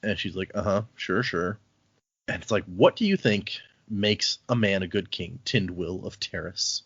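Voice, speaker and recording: A man's voice: deep voice, expressive, fairly bright tone, breathy edge, no room sound, lo-fi sound. A man hosting a casual book podcast, recapping chapters and briefly voicing the characters' lines. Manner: recaps chapters, acting out lines in quick voices. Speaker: podcast host.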